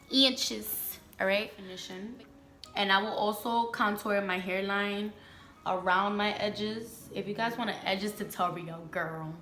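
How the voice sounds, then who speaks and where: A woman's voice: mid-range voice, a bit bright, reverberant. A young woman from the Bronx, chatting frankly and emphatically with her viewers while doing her makeup, full of slang. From the Bronx, New York. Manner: candid and emphatic, heavy slang, talks to viewers as "sis" and "girl". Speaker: beauty vlogger. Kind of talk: casual get-ready-with-me chat.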